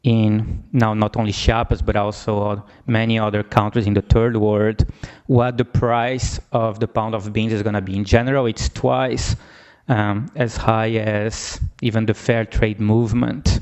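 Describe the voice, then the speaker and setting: A man from Brazil with a Brazilian Portuguese accent, presenting research at a conference in a halting way, with many restarts and fillers. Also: on the low side, flat delivery, a little mellow, smooth, muffled recording. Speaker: academic presenter. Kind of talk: conference talk.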